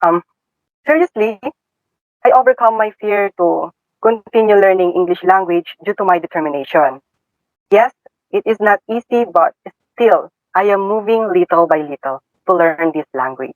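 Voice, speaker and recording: A young man with a Filipino accent and a high-pitched voice speaks as a student guest. His account sounds prepared, and the recording is dry. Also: normal speed, dry recording, poor audio. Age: young adult. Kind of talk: prepared personal testimony.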